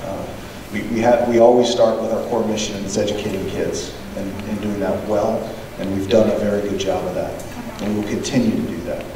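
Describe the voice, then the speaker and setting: Diplomatic superintendent, low voice, echoey hall. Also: male voice, level tone. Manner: measured, diplomatic, repeats words when starting. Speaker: school superintendent. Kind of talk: answering questions at a public meeting. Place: large meeting hall. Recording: echoey hall, distant mic, background noise.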